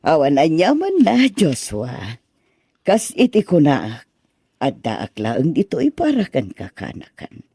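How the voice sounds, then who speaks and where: Man playing a doting mother, animated and mellow. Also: fairly high pitch, unhurried, husky, dry recording, clean studio sound, heavily acted. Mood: gentle, reassuring. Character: doting, motherly woman caring for her children. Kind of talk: radio drama acting.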